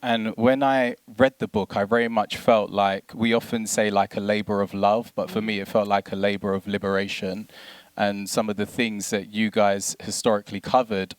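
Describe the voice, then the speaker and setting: A man's voice: on the low side, level tone, normal speed, no echo. Chatty British man hosting, dry sound. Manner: chatty, informal, engaged. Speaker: interviewer hosting a live talk. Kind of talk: informal live interview hosting. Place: live event with an audience.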